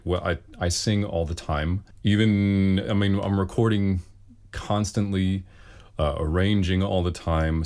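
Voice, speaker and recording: A man with a very deep bass voice, a singer casually answering questions with wry, self-deprecating humor. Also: a little mellow. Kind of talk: casual Q and A talk.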